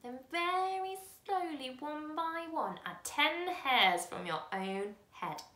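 A wizened, whiskered old man, put on by a woman reading aloud, speaks in a slow, creaky voice, dropping lower and into coaxing whispers. He sounds secretive and strange, a storybook stranger. The reading carries a roomy echo. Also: expressive, sharp tone, heavily acted. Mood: urgent instructing. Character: small, bald, whiskered, sly old man.

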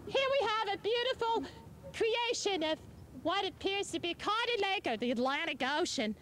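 A woman playing a chirpy, high-voiced TV street reporter. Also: expressive, normal speed, fairly bright tone. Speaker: TV reporter character. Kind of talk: amateur comedy sketch. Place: outdoors in a city, parking lots and murals. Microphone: handheld mic on a distant camcorder. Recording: noisy 1980s camcorder tape.

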